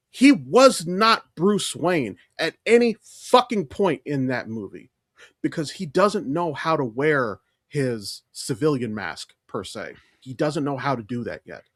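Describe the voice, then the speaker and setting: A man's voice: mid-range voice, no room sound, studio quality. A man co-hosting a podcast, chatting casually and emphatically with opinions and plenty of swearing. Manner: animated, emphatic, freely profane. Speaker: podcast co-host. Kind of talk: casual opinionated pop-culture chat.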